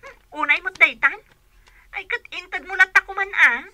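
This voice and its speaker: A woman's voice: very high-pitched, normal speed, pushed and bright, no echo, full character voice. A woman playing an emotional, generous older sister working abroad in a radio drama, with an Ilocano accent. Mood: resigned.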